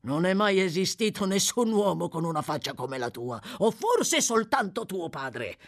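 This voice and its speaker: Casting: gruff, bullying male crook for a storybook played by a woman, brusque and sneering, very animated pitch. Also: a little slow, full character voice. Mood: scornful, irritated. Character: brutal, gruff, short-tempered male London thief.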